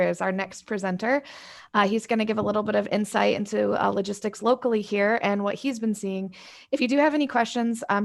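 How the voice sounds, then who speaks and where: A woman's voice: mid-range voice, vocal fry. A woman moderating a webinar on a video call, speaking casually and with many fillers as she thanks speakers and introduces the next one. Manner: casual and friendly, with frequent fillers.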